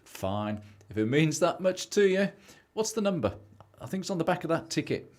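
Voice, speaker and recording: A young man plays a selfish British husband who believes he is rich, in an amateur radio play. He is excitable and impatient, with a very lively, varied pitch. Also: moderate pace. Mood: grudging.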